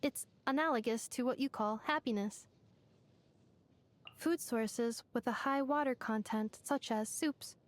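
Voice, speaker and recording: Precise, high-voiced female robot played by an actress. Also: a little slow, lightly acted. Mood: analytical, calm. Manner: precise, clinical, even-tempered. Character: polite, analytical female robot. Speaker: video game voice actress. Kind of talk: scripted game character voice lines.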